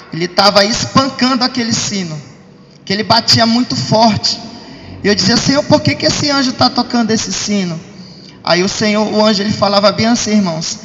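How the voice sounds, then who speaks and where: A Brazilian man with a high voice gives an emphatic testimony to his congregation. He keeps addressing them directly as brothers. The sound is dull and rings through a long hall reverb. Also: a bit bright. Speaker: church member giving testimony.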